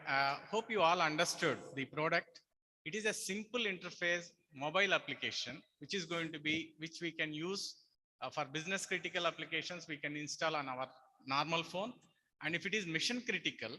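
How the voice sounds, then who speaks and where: A man's voice: on the high side, bright, forceful tone, low-quality recording. A man with an Indian accent giving an explanatory product presentation and answering questions from an audience.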